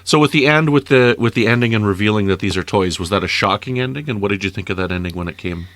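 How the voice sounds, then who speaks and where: A man's voice: fairly low pitch, quick pace, a bit bright, no room sound. A middle-aged man from Canada chatting casually and prompting with questions as he records listener feedback. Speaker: listener sending audio feedback. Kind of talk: casual review chat with his child.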